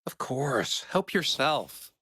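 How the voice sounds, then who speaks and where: A man's voice: mid-range voice, very animated, slow, sharp tone, breathy edge, dry recording, studio quality, exaggerated voice. A man playing a chatty, theatrical ore merchant in an anime game, effusive and dramatic in his delivery. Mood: chatty, confiding. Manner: effusive, dramatic, leisurely storytelling. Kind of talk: anime video game voice acting. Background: faint game music.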